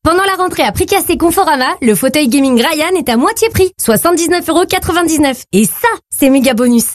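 A woman reading a furniture-store radio ad in a lively, playful manner. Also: high-pitched, fast, no echo, studio quality. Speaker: radio ad voice-over. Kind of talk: scripted commercial read.

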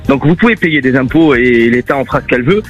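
A man calling a radio show, a restaurant owner talking rapidly and chattily with many fillers. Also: medium pitch, expressive, faint music behind. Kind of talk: call-in radio debate.

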